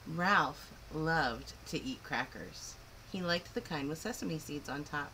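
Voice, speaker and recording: A woman reading a picture book aloud to children in an expressive, clearly phrased storytelling style. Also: sits low, slow, slight background noise. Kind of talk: animated children's story narration.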